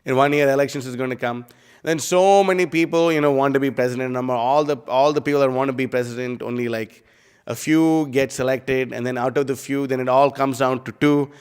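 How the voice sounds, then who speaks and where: Brief: man teaching a scripture class, smooth and resonant, loose filler-heavy delivery, long hall reverb. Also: medium pitch, fairly even intonation, fairly quick, darkish tone. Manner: casual, rambling, many fillers like "you know" and "like". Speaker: class speaker. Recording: live stream in a reverberant hall.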